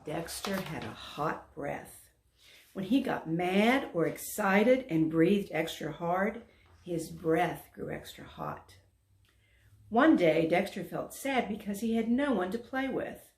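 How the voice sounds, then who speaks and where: Woman storyteller, children's read-aloud, emphatic, very lively intonation, gravelly edges, echoey room. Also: lowish voice, takes it slowly. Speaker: volunteer story reader. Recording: roomy echo.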